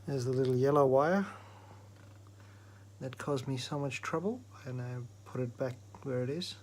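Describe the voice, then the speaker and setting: Male presenter, informal hands-on tech demo, explains methodically in a mid-pitched voice. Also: normal speed. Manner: explanatory, step by step. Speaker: presenter of an electronics repair demo. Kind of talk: informal technical demonstration.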